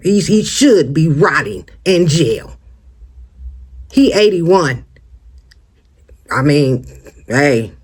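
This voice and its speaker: An American vlogger giving casual, opinionated commentary straight to viewers, emphatic and conversational, often posing rhetorical questions. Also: mid-range voice. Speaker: host of a true-crime commentary channel.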